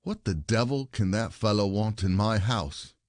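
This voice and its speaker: A man's voice: on the low side, normal speed, a little mellow, no room sound, studio quality, close to an everyday voice. A man playing a suspicious, bitter husband in a classic stage comedy, theatrical and probing.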